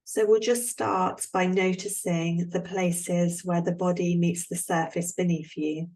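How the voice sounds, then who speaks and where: Woman with a lowish voice guiding relaxation. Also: poor audio. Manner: calm, soothing, unhurried with long pauses. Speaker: relaxation guide, yoga nidra instructor. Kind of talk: guided relaxation meditation.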